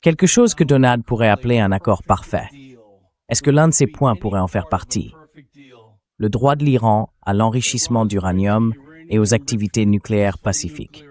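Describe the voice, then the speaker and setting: This is a synthetic male voice, pitched a little low. It plays the part of an interview host in a translated voice-over, reading out points and putting questions to a guest. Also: moderate pace, darkish tone.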